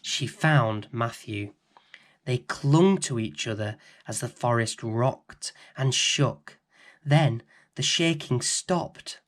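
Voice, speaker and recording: Male storybook reader for bedtime tales: theatrical, deliberate, very lively pitch. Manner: dramatic, theatrical, deliberate phrasing. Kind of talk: expressive children's bedtime story narration.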